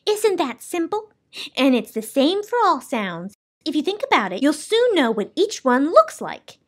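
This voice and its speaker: A woman's voice: high voice, big pitch swings, a little slow, voice pushed up. A woman reading a storybook as a fussy, imperious, wistful female keeper of sounds, with dramatic, bossy emphasis. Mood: brisk explaining.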